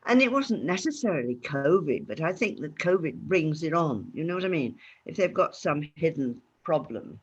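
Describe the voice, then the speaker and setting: A woman's voice: low-pitched, sing-song, moderate pace, hardly any pauses, slightly rough, lo-fi sound. An older woman chatting frankly as an interview guest over a video call. She laughs often. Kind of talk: casual interview chat.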